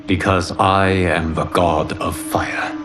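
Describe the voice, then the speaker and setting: An actor playing a calm, solemn fire god and protector in a video game, speaking formally and gravely. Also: male voice, low voice, slow pace, over music. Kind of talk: video game cutscene acting.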